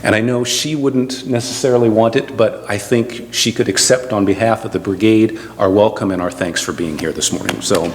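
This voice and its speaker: A man speaking as a pastor, giving an informal, halting introduction from the pulpit. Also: on the low side, slight background noise. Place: church sanctuary. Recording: long church-hall reverb.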